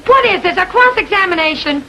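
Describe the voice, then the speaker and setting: An actress playing a sharp-tongued, hot-tempered woman in a 1940s American TV crime drama, brassy, slangy and combative. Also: very high-pitched, animated, fairly quick, exaggerated voice. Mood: defiant. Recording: noisy, dull 1940s film soundtrack.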